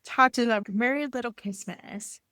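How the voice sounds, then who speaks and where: Enthusiastic woman chatting, higher-pitched voice. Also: normal speed, pushed and bright, smooth, dry recording, studio quality. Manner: enthusiastic, gushing. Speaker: podcast host interviewing an author. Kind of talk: casual, chatty book talk.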